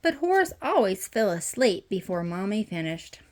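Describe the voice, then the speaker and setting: A woman reading a children's picture book aloud as a storytime host, with expressive storytelling. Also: mid-range voice, normal speed. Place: messy playroom at home. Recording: noisy home room with clicks.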